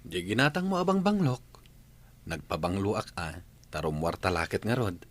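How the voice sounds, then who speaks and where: Animated, bemused young husband played by a man. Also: sits low, moderate pace. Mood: bemused, protesting. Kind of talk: Ilocano radio comedy drama acting.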